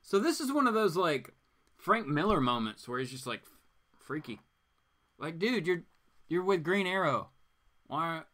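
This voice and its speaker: A male host reads and comments on comics in a casual, animated style. His voice is a little high and bright, swinging widely in pitch through mock-incredulous asides. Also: a little slow, pauses often. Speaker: live show host.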